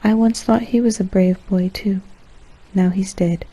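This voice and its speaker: A woman's voice: mid-range voice, steady tone, unhurried, poor audio. A woman doing casual, joking gameplay commentary in a wry, playfully dramatic way. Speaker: game streamer. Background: soft game music.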